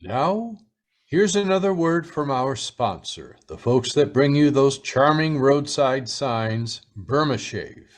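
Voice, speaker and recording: Male radio announcer, old-time style, scripted, slow pace, lively intonation. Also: medium pitch. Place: live remote video-call performance.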